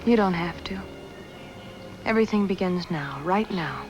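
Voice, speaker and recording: Low-voiced, devoted noir sweetheart. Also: female voice, lively intonation, unhurried, lo-fi sound, music in the background. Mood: tender. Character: devoted, lonely young sweetheart of a drifter, a woman. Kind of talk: 1950s film noir acting. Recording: old film soundtrack with score.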